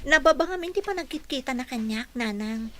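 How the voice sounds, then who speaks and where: An actress with an Ilocano accent playing an earnest, respectful young employee in a radio drama. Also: female voice, high-pitched, lively intonation, fairly quick, a little hiss or hum. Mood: confiding, determined. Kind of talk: Filipino radio drama acting.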